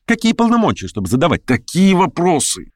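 A man's voice: medium pitch, animated, fairly quick, no echo, studio quality, pitched above the performer's own voice, close to an everyday voice. A man voicing a prickly, suspicious man in an audiobook, protesting and quibbling bluntly. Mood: challenging. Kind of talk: acted audiobook dialogue.

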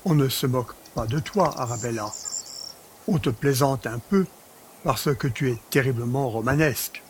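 A man's voice: medium pitch, moderate pace, a little hiss or hum, lightly acted. An actor playing a smug, teasing male relative in a French audio drama, with a familiar, needling manner.

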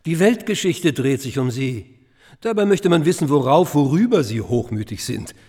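A man's voice: fairly high pitch, animated, moderate pace, darkish tone, husky, long reverb tail. A man in a radio play acting a worldly, sceptical friend with a blunt, sardonic manner. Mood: dismissive. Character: sceptical, dry male friend, worldly and dismissive. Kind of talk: German radio drama dialogue.

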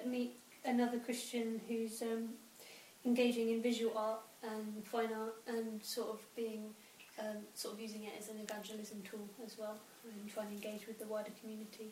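A woman's voice: fairly high pitch, monotone, normal speed, a little mellow. A woman from Wales speaking hesitantly with many ums in a casual group chat. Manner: hesitant, full of ums and sort-ofs. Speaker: guest. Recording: echoey room, mic at a distance, faint hiss.

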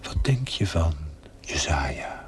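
A man playing a solemn, all-knowing spirit voice in a breathy, measured whisper. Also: rumbling low, very animated, full character voice. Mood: solemn, wry.

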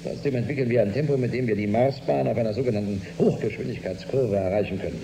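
An actor playing a commanding, weary spaceship captain in a German radio drama. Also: male voice, mid-range voice, fast talker, dark tone, lightly acted. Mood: grave, resigned. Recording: roomy studio with clear background hum.

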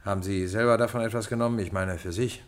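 Actor as a dryly ironic, low-voiced detective. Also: male voice, fairly even intonation, lightly acted. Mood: probing. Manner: measured, dryly ironic, hesitating. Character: calm, dryly ironic male police detective. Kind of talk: German radio drama acting.

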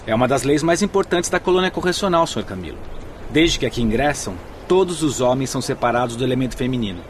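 A man's voice: medium pitch, lively intonation, quick pace, a little hiss or hum, lightly acted. An actor playing a courteous, orderly male guide in a radio drama, speaking formal, authoritative Portuguese with a Brazilian accent. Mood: firm, explanatory. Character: courteous, orderly male instructor guiding spirit newcomers.

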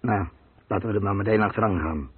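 Husky, spiteful crook. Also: male voice, on the low side, fast, no echo. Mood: impatient. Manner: grumbling, accusing. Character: spiteful, impatient male crook, quick to blame. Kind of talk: radio play dialogue. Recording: dry, muffled telephone-like old radio recording.

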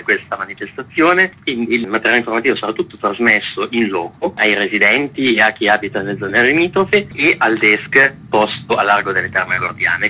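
A man organizing a festival, answering radio interview questions in a measured way with restarts and fillers. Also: on the low side, pushed and bright, rough recording. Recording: radio broadcast.

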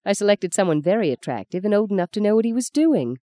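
A woman reading a story, voicing a sharp-tongued, defiant young woman who is a budding actress, in a cutting, exasperated manner. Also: mid-range voice, very animated, fast talker, pitched above the performer's own voice, close to an everyday voice. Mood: teasing.

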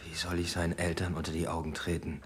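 A man's voice: sits low, monotone, fast, natural voice. A man acting in a radio drama as a troubled, conscientious police detective, plain-spoken and restrained. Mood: guilty, sombre.

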